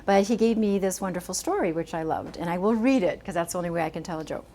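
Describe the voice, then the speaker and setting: Soft-voiced woman in her sixties. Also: lowish voice, fast talker. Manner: gentle, chatty, laughs often. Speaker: author giving a library talk. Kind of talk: informal public talk. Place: library meeting room.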